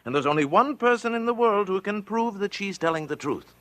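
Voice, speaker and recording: Showy defense attorney, theatrical and sly, high voice with big swoops, 1940s radio. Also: male voice, lively intonation, a little fast, sharp tone. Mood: wry, dramatic. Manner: theatrical, mocking, strutting. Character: showy, sly defense lawyer who toys with witnesses.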